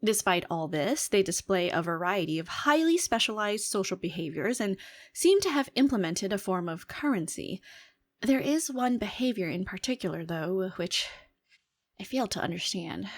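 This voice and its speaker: A woman's voice: medium pitch, sing-song, moderate pace. A woman playing an alien head scientist in a scripted audio roleplay, briefing her commander in a formal, earnest, analytical and emphatic way. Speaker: voice performer. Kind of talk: scripted fictional ASMR roleplay monologue.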